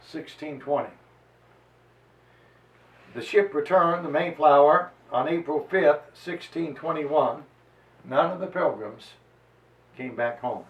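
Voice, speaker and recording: A man giving a dramatic historical reading and lecture with theatrical, deliberate emphasis. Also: a little high. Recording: echoey room, distant microphone.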